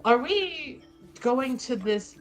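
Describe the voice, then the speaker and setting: A woman playing a dry, protective, dutiful hostess in improvised tabletop roleplay, wry and measured. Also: high voice, animated, over music. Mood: reluctant, polite.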